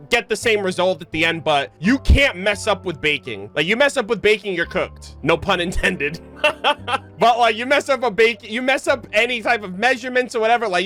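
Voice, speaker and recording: A man giving casual, expressive reaction commentary as a game streamer, slangy and prone to swearing. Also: high voice.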